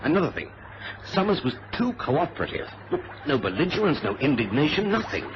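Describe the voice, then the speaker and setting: A suspicious, determined airline man in a vintage radio adventure. His delivery is emphatic and swings widely in pitch as he thinks aloud in halting bursts. The recording is muffled, with plenty of noise over it. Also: big pitch swings. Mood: excited deduction. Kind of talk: old radio adventure drama acting.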